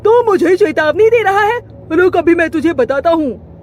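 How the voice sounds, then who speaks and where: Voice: extremely high pitch, animated, moderate pace, soft background music. A performer voicing a boastful, hungry male kite bird who taunts and threatens in a children's cartoon. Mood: threatening. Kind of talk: Hindi children's cartoon voice acting.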